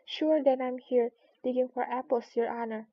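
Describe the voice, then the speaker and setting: A woman's voice: high-pitched, steady tone, normal speed. A woman voicing a meek, reluctant male servant animal in a storybook, deferential and hesitant. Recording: dull lo-fi recording.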